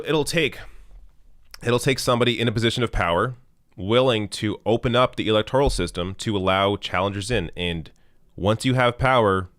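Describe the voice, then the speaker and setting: A man's voice: on the low side, fairly bright tone, dry recording, studio quality. A man hosting a live stream and reading out viewer questions, thinking aloud with ums and restarts as his voice gives out. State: losing his voice, clearing his throat. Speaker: live stream host answering super chats.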